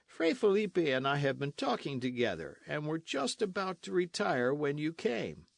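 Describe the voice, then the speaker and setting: A languid young gentleman sighs out long, weary phrases, pitched above the narration, as a man puts him on. The recording is dry and close. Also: big pitch swings, moderate pace, gravelly, dry recording.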